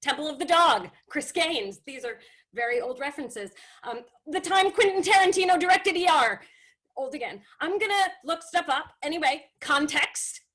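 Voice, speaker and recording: An actress playing a frazzled, defensive, snarky young publicist in a comedy table read over a video call. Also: female voice, high-pitched, animated, moderate pace, a bit bright. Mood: flustered.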